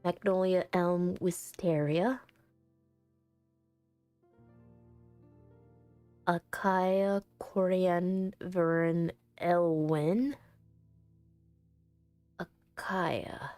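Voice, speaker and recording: A woman voicing an earnest, anguished visual novel protagonist in a halting, dramatic first-person reading. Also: lowish voice, a little mellow, smooth, no room sound. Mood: halting, concentrating.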